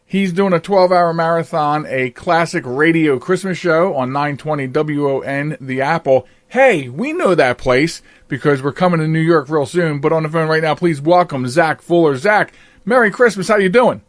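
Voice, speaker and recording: Male podcast host, highish pitch, casual interviewing, halting delivery, very dry recording. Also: no echo. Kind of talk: casual radio-style interview chat.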